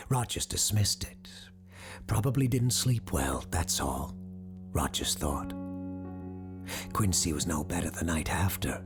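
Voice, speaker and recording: A man playing a grave doctor who hosts an occult radio show, telling stories in a measured, theatrical, formal way with a gravelly, breathy voice. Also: low voice. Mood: brooding, suspenseful. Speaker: radio host. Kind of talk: dramatic scripted storytelling in a radio drama.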